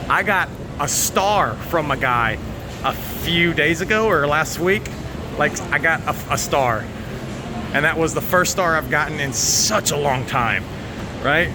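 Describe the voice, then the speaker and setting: A man's voice: a little high, lively intonation. Rambling American man, noisy outdoor vlog. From United States. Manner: candid, rambling, halting, sweary. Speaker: vlogger. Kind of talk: casual rambling vlog talk to viewers. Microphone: handheld phone at arm's length. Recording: noisy outdoor recording with vehicle sounds.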